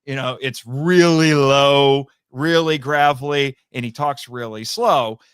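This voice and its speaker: A man chatting casually as a podcast guest, telling anecdotes in a rambling, animated way and acting out bits of conversation. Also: medium pitch, takes it slowly, clean tone, dry recording, studio quality. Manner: rambling, animated storytelling with acted-out quotes. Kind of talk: casual anecdotal interview chat.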